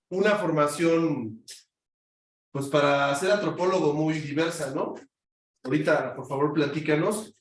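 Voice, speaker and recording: A male teacher with a slightly raised pitch talks informally, gently urging his students to gather round. He is picked up from across an echoey classroom, with a faint hum of ambience behind him. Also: distant mic. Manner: informal, coaxing students to come closer. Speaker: university teacher, host of the session. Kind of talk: casual classroom hosting. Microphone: far room mic.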